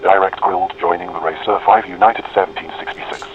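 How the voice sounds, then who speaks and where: A man voicing a brisk, businesslike airline pilot in a simulator game, giving clipped readbacks through a noisy radio filter. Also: deep voice, steady tone, crowd noise. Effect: aircraft radio filter. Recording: tinny, noisy aircraft radio transmission.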